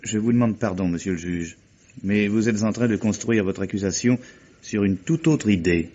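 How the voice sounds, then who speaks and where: Male, actor as shrewd and ironic friend of the accused; medium pitch, assured, biting, dull vintage radio recording. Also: moderate pace, warm tone, lightly acted. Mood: firm, polite. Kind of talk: French radio mystery drama acting.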